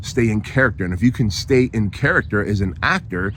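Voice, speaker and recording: Man, hoarse, lowish voice, emphatic motivational podcast host, repeats himself, faint background hiss. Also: lively intonation, brisk, a bit bright. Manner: emphatic, repeats phrases, frequent tag "right?". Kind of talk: motivational monologue.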